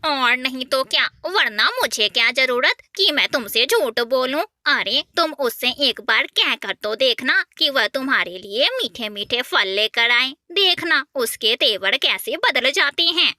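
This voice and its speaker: A woman's voice: sits high, animated, a little slow, hardly any pauses, sharp tone, dry recording, clean studio sound, voice pushed down, heavily acted. A woman voicing a scheming, gossipy female crow in a cartoon, with a sly, meddling manner. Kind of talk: cartoon voice acting.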